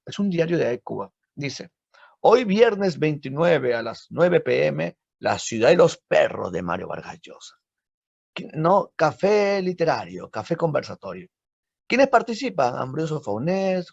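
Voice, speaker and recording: A man teaching a literature class, lively and full of rhetorical questions. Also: medium pitch, vocal fry, husky, dry recording. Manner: lively, asks rhetorical questions, frequent tag "¿no?". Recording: dull lo-fi dry audio.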